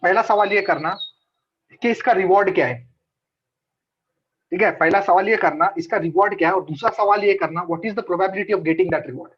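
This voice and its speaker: भारतीय लहजे वाला एक पुरुष ट्रेडिंग मेंटर, जो ऑनलाइन कॉल पर हिंदी और अंग्रेज़ी मिलाकर, बातें दोहराते हुए बातचीत के अंदाज़ में क्लास लेता है।. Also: male voice, high-pitched, moderate pace, slightly rough. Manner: chatty, mixes Hindi and English, repeats points. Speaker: trading mentor. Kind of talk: casual online trading class. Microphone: video call. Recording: dull lo-fi call audio.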